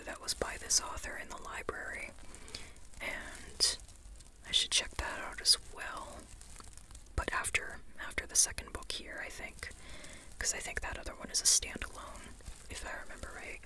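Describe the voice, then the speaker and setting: An ASMR creator whispering an informal, rambling book chat in a breathy, unvoiced voice, tentative and softly repeating phrases. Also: unhurried, long pauses.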